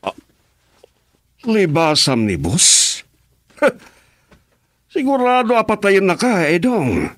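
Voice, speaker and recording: A man's voice: high-pitched, wildly swooping pitch, slow, a bit bright, no echo, exaggerated voice. A man playing a teasing fellow henchman who drinks and mocks his friend.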